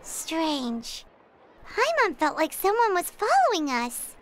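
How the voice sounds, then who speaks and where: A woman voicing a tiny, bubbly, boastful female fairy companion in a video game, with an excitable manner. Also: very high-pitched, slow pace, a little hiss or hum, heavily acted. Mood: curious. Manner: excitable, refers to herself by name. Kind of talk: video game character dialogue. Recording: loud music underneath.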